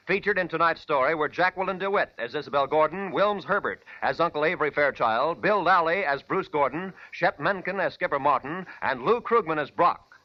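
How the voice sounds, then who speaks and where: A man announcing scripted credits in a formal, polished 1940s radio style with measured diction. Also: on the high side, lo-fi sound. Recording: band-limited 1940s radio recording with music.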